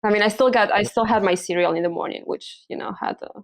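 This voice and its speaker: A young woman from Spain speaks as a guest in a relaxed interview, her voice at a middle pitch with a gravelly, creaky texture. She hesitates often, filling gaps with ums and likes and restarting her sentences. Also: quick pace. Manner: hesitant, many fillers and restarts, laughs often. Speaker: hormonal and pregnancy coach, podcast guest. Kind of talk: casual interview chat.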